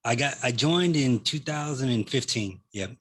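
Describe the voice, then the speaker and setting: A man in his late thirties with a husky voice at medium pitch. He talks as a podcast guest in loose, slangy chat, dropping y'all, man and dude into his sentences. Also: lively intonation, moderate pace, a bit bright. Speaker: podcast guest, Army veteran. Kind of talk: casual chat.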